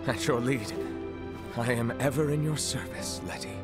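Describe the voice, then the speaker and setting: An actor playing a loyal, courteous nobleman knight in a video game, with formal, measured speech. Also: mid-range voice, steady tone, lightly acted. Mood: devoted, respectful. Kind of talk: dramatic video game voice acting. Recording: game music behind. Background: background music.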